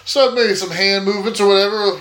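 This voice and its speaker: A man's voice: high-pitched, steady tone, quick pace, a bit bright. A man playing a tabletop roleplaying game, casually and playfully describing what his character does.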